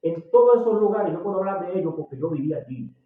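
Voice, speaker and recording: A man in his sixties from Puerto Rico with a Puerto Rican accent, preaching a sermon emphatically, getting loud and slowing down on key words. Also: high voice, expressive, slight background noise. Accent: Puerto Rican Spanish. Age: 60s. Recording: tinny, echoey, distant recording.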